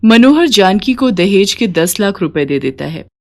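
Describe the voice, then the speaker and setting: A woman's voice: mid-range voice, sing-song, unhurried. A woman storyteller reading a scripted moral tale.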